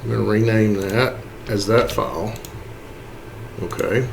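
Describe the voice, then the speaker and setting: Casual man explaining software, noisy recording. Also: on the low side, measured pace, creaky. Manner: informal, explains step by step, often checks with "okay" and "right". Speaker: tutorial presenter. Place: indoors, voice-over for a screen recording.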